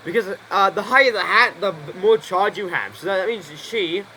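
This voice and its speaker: A man's voice: high-pitched, expressive, normal speed, bright, forceful tone, slight background noise, low-quality recording. A man streaming a game, rambling through casual commentary, repeating himself and swearing freely. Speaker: gameplay streamer.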